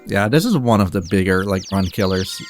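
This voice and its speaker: A man's voice: sits low, expressive. A man giving casual, rambling live gameplay commentary as a speedrunner, often interrupting himself. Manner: halting, rambling, self-interrupting. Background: game music.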